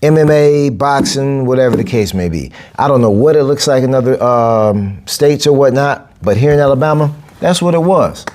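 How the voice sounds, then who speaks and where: A man's voice: expressive, normal speed, hardly any pauses, a little mellow. Guy in his 40s, coach rambling on his podcast, punchy, repeats himself a lot, voice jumps up when he makes a point. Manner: emphatic, repeats phrases, big pitch jumps for emphasis. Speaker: podcast host, boxing and capoeira coach. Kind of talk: casual solo podcast monologue.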